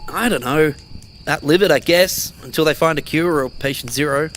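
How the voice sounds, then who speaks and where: A man's voice: on the high side, normal speed, fairly bright tone, a little hiss or hum, natural voice. A man acting as a pragmatic, exasperated survivor in an audio drama, talking in a blunt, argumentative way. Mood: skeptical. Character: Marcus, blunt pragmatic male survivor, exasperated but caring. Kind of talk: naturalistic audio drama dialogue.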